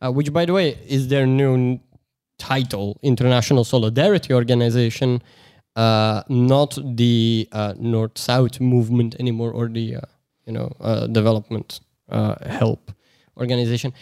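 A man's voice: mid-range voice, fairly even intonation, normal speed, a little mellow, clear, resonant tone, no room sound. Guy hesitating a lot, uh uh, blunt, swears, dry close mic. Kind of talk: casual discussion.

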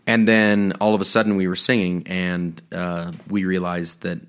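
A man's voice: deep voice, flat delivery, moderate pace, fairly bright tone, clean tone. Joking man on a casual podcast. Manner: joking, self-mocking. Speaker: priest, podcast host. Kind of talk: casual chat.

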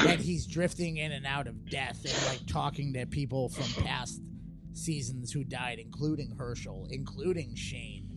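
Young male podcast co-host, rough fry in the voice, loose swearing banter over music. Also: medium pitch, measured pace. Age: young adult. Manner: joking, rambling, swearing. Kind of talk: casual banter.

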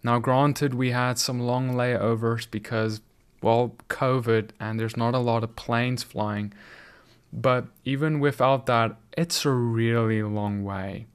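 A man's voice: medium pitch, steady tone, slow pace, clean tone. Earnest South African man preaching. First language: Afrikaans. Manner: earnest, conversational, with frequent restarts. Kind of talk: video sermon.

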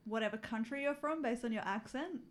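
A woman's voice: a little high, a little fast. A woman co-hosting a podcast who breaks in with blunt, outspoken questions in casual chat.

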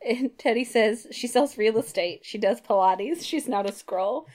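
A woman's voice: a little high, a little slow, darkish tone. A woman co-hosting a podcast, recapping a comic book in casual, conversational talk. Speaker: podcast co-host.

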